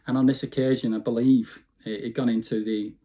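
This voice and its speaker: Chatty Lancashire man, mellow voice. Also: mid-range voice, flat delivery, brisk, dull audio. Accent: Bolton, Lancashire. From Bolton, Lancashire, England. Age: 50s. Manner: chatty, informal, frequent "you know" tags. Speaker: interview guest. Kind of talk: casual reminiscing interview.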